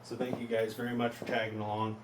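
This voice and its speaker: A man in his early twenties talking casually in a self-reflective vlog, rambling and halting, often questioning himself as he goes. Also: mid-range voice, flat delivery. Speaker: vlogger. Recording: echoey, noisy, distant from mic.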